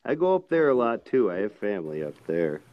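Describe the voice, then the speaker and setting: A man's voice: lowish voice, very animated, a little fast, no echo, narrow, tinny audio. A man chatting casually on a live video call, joking and teasing the others. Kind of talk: casual chat.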